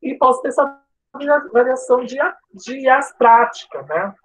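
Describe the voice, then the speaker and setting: A Portuguese teacher from São Paulo's coast, with its sibilant S, gives a chatty live online class full of tag questions and restarts. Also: female voice, high-pitched, measured pace, a bit bright, poor audio. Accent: Brazilian Portuguese, coastal São Paulo. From São Paulo state coast, Brazil. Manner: informal, chatty, frequent "né?" tags and restarts.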